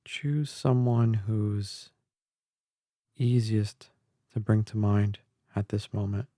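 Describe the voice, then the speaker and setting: A man guiding a meditation in a calm, gentle, measured way, leaving long pauses between phrases. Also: fairly low pitch, no echo, studio quality. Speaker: guided meditation instructor. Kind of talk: slow guided meditation narration. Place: close, dry voice-only recording.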